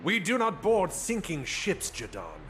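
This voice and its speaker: An actor playing a cunning, coldly pragmatic guild leader in a fantasy game, speaking with deliberate, smug self-assurance. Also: male voice, high voice, huge pitch swings, full character voice. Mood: smug, calculating. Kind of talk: scripted fantasy game voice acting. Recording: noisy game ambience behind the voice.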